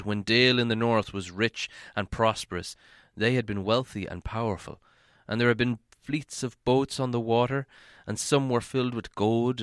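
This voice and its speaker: A man reading a fantasy novel aloud as an audiobook narrator, in dramatic, measured storytelling. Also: fairly low pitch, a bit bright, clear, resonant tone, no echo, studio quality.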